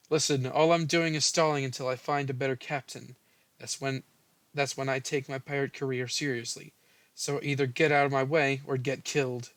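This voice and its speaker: A man's voice: medium pitch, a bit bright, no room sound. A young man casually reading a story aloud and bantering about it. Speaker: host reading own old fan fiction.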